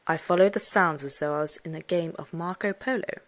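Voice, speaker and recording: A woman reading a children's suspense story aloud, expressively, with dramatic hushed moments. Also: sits low, fairly quick. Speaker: audiobook narrator. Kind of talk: dramatic first-person storybook narration for children.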